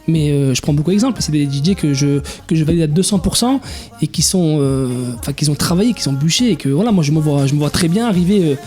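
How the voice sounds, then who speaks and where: A man's voice: on the high side, expressive, fast talker, a little mellow, faint music behind. A young man in his twenties, a DJ, talking casually in an interview, hesitant with many restarts and fillers. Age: 20s. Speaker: DJ guest.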